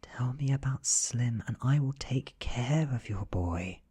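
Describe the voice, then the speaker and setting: A man with a British accent voicing an ancient female vampire from a horror audiobook, gentle, coaxing and coldly menacing. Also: medium pitch, lively intonation, takes it slowly, breathy edge, voice pushed up, exaggerated voice. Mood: coaxing interrogation. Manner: soft, coaxing, whispery. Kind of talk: ASMR audiobook reading.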